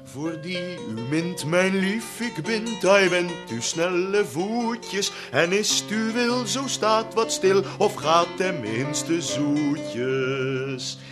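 Jonge dichter met luit. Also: male voice, sits high, expressive, drawn-out words, few pauses, exaggerated voice. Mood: singing. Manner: sings verses, chuckles while explaining. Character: young witty amorous poet, playful and charming. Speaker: poet presenting his play. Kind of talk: 1970 radio drama, sung ballad with guitar. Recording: music behind the voice, old radio broadcast. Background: plucked guitar.

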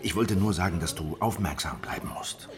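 An actor playing a weary, wary middle-aged veteran of lost uprisings in a historical radio drama, reflective and confiding. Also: male voice, deep voice, a little fast, crowd noise, lightly acted. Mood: troubled, confiding.

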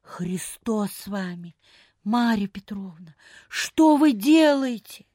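An actress playing a wary, gossipy provincial lady in a radio play, with expressive, drawling, old-fashioned speech. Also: medium pitch, slow, no echo, clean studio sound. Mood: alarmed, warning. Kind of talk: Soviet radio play acting.

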